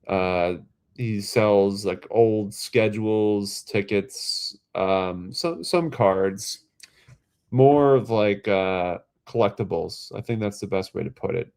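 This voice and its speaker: Deep-voiced congested man rambling on a livestream. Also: vocal fry, a little mellow. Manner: rambling, halting, many fillers and restarts. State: congested, nasal from a head cold. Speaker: livestream host. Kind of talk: casual livestream chat.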